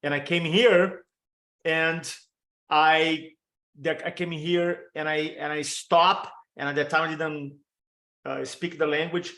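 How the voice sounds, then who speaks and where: A man in his fifties from Brazil with a Brazilian Portuguese accent, giving an energetic storytelling training talk over a video call and stacking quick lists of examples. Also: on the high side. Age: 50s. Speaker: motivational speaker and storytelling coach.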